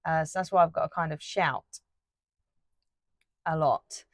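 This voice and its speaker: A woman chatting casually while she paints, rambling with lots of fillers and restarts, her voice a little croaky. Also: low voice, measured pace, no room sound, clean studio sound. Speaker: art YouTuber.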